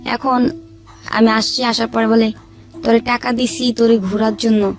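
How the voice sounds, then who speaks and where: A woman's voice: fairly high pitch, level tone, moderate pace. A young woman tells a personal life story, repeating phrases as she goes. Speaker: guest telling her life story. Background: background music.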